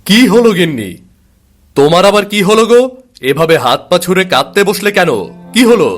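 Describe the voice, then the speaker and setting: A man's voice: high-pitched, animated, fairly quick, faint music behind. A man voicing a meek, anxious husband in a cartoon, placating and flustered as he soothes. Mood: alarmed concern. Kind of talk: cartoon family drama acting.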